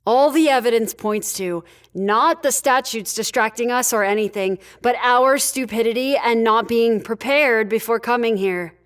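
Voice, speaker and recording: Female starship leader played by an actress, fairly high voice, curt, firm authority, sci-fi audio drama. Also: moderate pace, lightly acted. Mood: frustrated. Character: no-nonsense female spaceship leader, firm and impatient.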